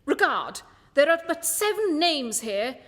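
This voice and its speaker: A woman's voice: high voice, very animated, a little slow, a bit bright, in a large hall, heavily acted. A woman playing a confident, incisive detective in a radio play, speaking with composed, precise command. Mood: assured. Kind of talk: festive radio play acting.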